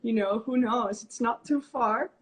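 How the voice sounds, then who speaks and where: Bubbly Dutch woman, fairly high voice. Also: unhurried. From Netherlands. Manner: bubbly, laughs often, chatty. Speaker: host interviewer. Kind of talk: casual video-call chat.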